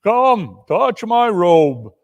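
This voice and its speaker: A man's voice: very animated, very slow, exaggerated voice. A man voicing a jolly, bellowing giant spirit in a dramatic storybook reading, hearty and full of laughter. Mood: hearty, jovial. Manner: booming, hearty, laughing delivery.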